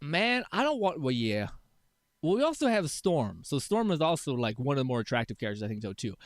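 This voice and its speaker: A man's voice: on the high side, sing-song, a little fast, no room sound, studio quality. A young adult man streaming live, chatting with his viewers in a rambling, laugh-filled way with many restarts. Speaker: streamer reading chat. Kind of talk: casual live-stream chat.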